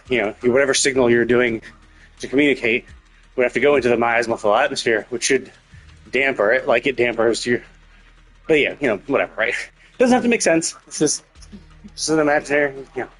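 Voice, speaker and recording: A man's voice: medium pitch, a bit bright. A man doing casual live game commentary, musing aloud in a rambling, hedging way. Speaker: game streamer.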